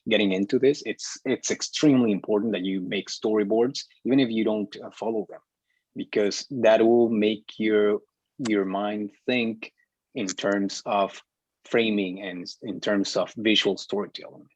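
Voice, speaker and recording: A man speaking as an instructor in a casual discussion, halting and often repeating words, on a muffled online call. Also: fairly low pitch, steady tone, darkish tone, dry recording. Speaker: film instructor leading a class discussion. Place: online group video meeting.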